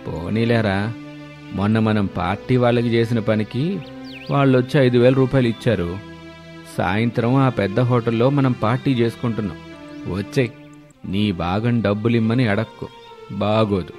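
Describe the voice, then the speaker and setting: A man's voice: fairly low pitch, normal speed, pauses often, darkish tone, soft background music, natural voice. Man acting pushy, chummy drinking buddy. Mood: insistent. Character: pushy, chummy male drinking buddy, coaxing his friend. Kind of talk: Telugu moral story dialogue.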